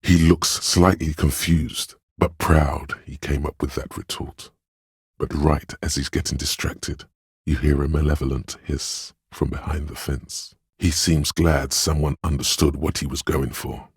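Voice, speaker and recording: An actor playing a grave, perceptive inner voice of empathy in a game, husky and measured, gently guiding the listener in intimate narration. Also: male voice, steady tone, dry recording, studio quality, heavily acted. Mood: somber. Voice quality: very deep, husky. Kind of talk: scripted game narration, second person.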